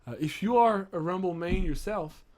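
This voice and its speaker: A man's voice: high-pitched, moderate pace. Sick-sounding young gamer guy rambling. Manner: rambling, excitable, many restarts and fillers. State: sick, voice strained. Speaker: gaming streamer. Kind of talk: casual patch-notes commentary.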